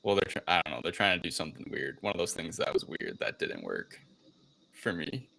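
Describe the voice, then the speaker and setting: A man's voice: rumbling low, very animated, brisk, vocal fry, pushed and bright, a little hiss or hum, rough recording. A man co-hosting a casual movie review chat, rambling informally through a plot recap and sometimes swearing. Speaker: podcast co-host.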